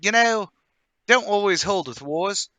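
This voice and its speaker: Radio drama: plain-spoken young working man man acting, chatty and colloquial, high-pitched, forward bright tone. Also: lively intonation, measured pace, no echo, exaggerated voice. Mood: chatty, opinionated. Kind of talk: radio mystery drama table read.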